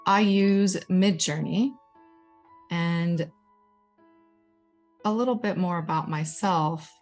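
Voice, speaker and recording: A young woman from Guatemala, a Spanish speaker from birth, talks through her artwork in a chatty, enthusiastic way. Her voice sits a little low. Soft music plays faintly behind her. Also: slow pace. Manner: chatty and enthusiastic, self-deprecating asides. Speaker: digital storytelling manager. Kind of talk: informal presentation showing her artwork.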